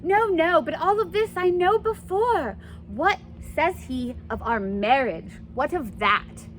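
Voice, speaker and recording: A woman's voice: high-pitched, lively intonation, takes it slowly, rough recording. A woman reading the part of a lovesick, impatient teenage girl in a stage play, dramatic and pleading, with some noise behind her. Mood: urgent, exasperated.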